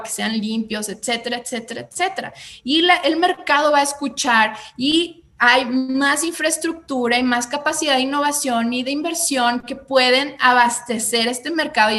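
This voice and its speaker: Bright-voiced woman explaining economics. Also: high voice. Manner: explains with examples, many "eh" fillers. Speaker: guest on economics show. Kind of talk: conversational explanation.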